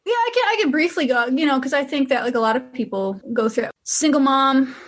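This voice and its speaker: A woman's voice: high voice, fast talker. A young woman from Western Massachusetts, a musician guest chatting candidly and casually in an interview. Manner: relaxed, chatty, filler-heavy, candid.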